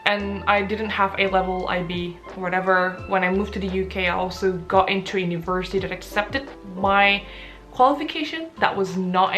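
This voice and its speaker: A woman's voice: mid-range voice, a bit bright. A woman in her twenties from Indonesia with an Indonesian accent, giving advice on a casual vlog and talking with lots of fillers and restarts. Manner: chatty with frequent fillers and restarts. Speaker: vlogger answering viewer questions.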